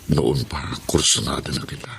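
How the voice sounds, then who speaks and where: A man's voice: rumbling low, flat delivery, normal speed, creaky, background noise, exaggerated voice. A man playing a lustful, coaxing older stepfather in a Filipino radio drama. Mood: coaxing, scheming. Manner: coaxing, insinuating. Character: lustful older stepfather wooing his stepson's young partner.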